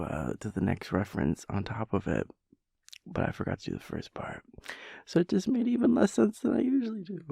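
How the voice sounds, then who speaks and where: A man's voice: medium pitch, vocal fry, a little mellow, breathy edge, no echo, studio quality, exaggerated voice. A man playing a playful, sleepy, chaotic boyfriend in an intimate roleplay audio, rambling and stammering as he teases and coaxes his lover. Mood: flustered, amused. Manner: rambling, stammering, teasing, breaking into whispers and giggles.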